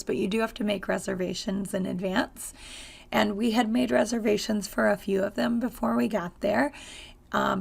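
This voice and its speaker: A woman speaking in a casual, chatty travel-vlog manner, her voice hoarse and raspy after a lot of screaming. Heavy vocal fry grinds under her mid-pitched delivery. She rambles along with frequent ums and uhs. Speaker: travel vlogger.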